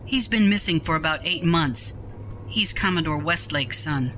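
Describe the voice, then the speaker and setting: Faint ship ambience sits behind a woman acting as a starship captain in a sci-fi audio drama. Her voice is bright and forceful, with a formal, authoritative way of giving orders. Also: sits low, animated, normal speed, a little hiss or hum. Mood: brisk. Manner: commanding, formal.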